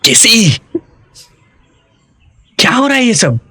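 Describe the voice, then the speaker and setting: A man's voice: high-pitched, big pitch swings, takes it slowly, pushed and bright, breathy edge, no room sound, full character voice. A young man playing a concerned, questioning office worker in a dubbed drama. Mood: confused, upset. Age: young adult.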